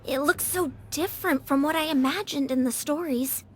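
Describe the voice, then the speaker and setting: Woman as high-voiced earnest girl explorer. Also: moderate pace, slight background noise, lightly acted. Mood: concerned. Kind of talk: video game voice acting.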